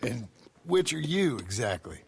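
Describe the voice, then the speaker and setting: An actor playing a gruff, weary American gunslinger turned rancher in a western video game, terse and dry with a raspy voice. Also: male voice, medium pitch, very animated, unhurried, heavily acted. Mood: guarded. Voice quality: gravelly, raspy.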